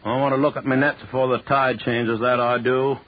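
A man's voice: mid-range voice, level tone, brisk, dry recording, natural voice. An actor playing an indignant, proud, seasoned fisherman in a 1940s radio drama, speaking in a folksy dialect. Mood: grumbling. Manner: folksy dialect, inverted phrasing. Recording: muffled, noisy old radio recording.

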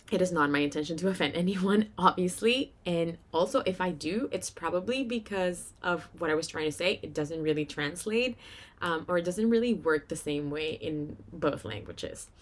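A young Venezuelan woman hosts a casual solo podcast in a slightly low voice. She has a Venezuelan Spanish accent from her first language. Her delivery is chatty and informal, with a giggly streak. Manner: chatty, informal, giggles often while talking. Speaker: podcast host.

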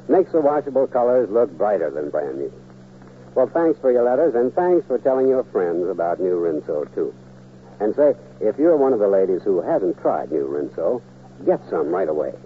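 Low, lively 1940s radio pitchman. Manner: polished, persuasive, emphatic. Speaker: commercial announcer. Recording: old tinny radio recording with hum.

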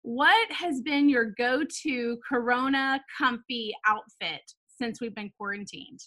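A woman's voice: on the high side, slow, bright, forceful tone. Bubbly woman hosting a podcast. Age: 30s. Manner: bubbly, laughs often, fillers like "um" and "like". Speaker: podcast host, founder of a girls' ministry. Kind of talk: casual chat. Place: home, talking over a video call.

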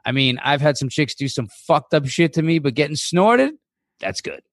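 A man's voice: fairly high pitch, sing-song, normal speed, a bit bright, no room sound, clean studio sound, voice pushed up. A man reading a cocky, teasing dead brother, a bro-ish ghost, in a script reading. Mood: cocky, amused. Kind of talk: dramatic table read of TV pilot.

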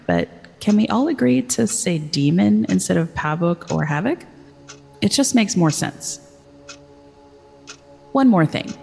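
An actor voicing an earnest internet forum poster, explaining in a casual, questioning way with a husky creak. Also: medium pitch, a little mellow, exaggerated voice. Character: earnest online forum poster theorizing about a demon. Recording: long cavernous reverb.

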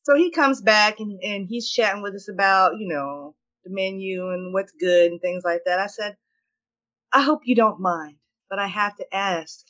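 A middle-aged woman tells a story in an emphatic, opinionated and chatty way. Her voice sits in a middle pitch, and the recording sounds dull and muffled. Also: normal speed, bright, forceful tone. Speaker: podcast co-host. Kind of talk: casual conversation.

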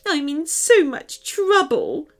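A woman's voice: sits high, wildly swooping pitch, slow pace, pitched above the performer's own voice, full character voice. A woman voicing a small, frightened pointy-eared girl from a storybook, speaking in a shaky, tearful, earnest voice.

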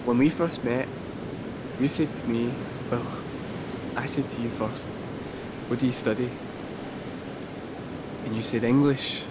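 Audio drama role: remorseful man played by a male actor, mid-pitched, halting and confessional, naturalistic delivery, heavy wave-like rumble behind. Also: steady tone, close to an everyday voice. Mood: earnest, reflective. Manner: halting, confessional, swearing, repeating himself. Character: remorseful, earnest man pleading with estranged partner. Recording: loud rushing surf-like rumble noise.

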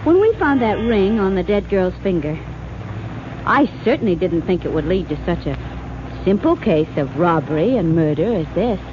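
A woman's voice: fairly low pitch, very animated, normal speed. An actress playing a detective's curious, admiring female companion in a 1940s radio drama, with an inquisitive, lilting delivery. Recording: muffled, very noisy old radio recording.

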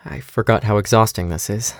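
A young man playing a weary, anxious but caring survivor in an audio drama, with a gravelly creak in his voice. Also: fairly low pitch, animated, brisk, no echo, soft background music. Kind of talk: naturalistic audio drama acting.

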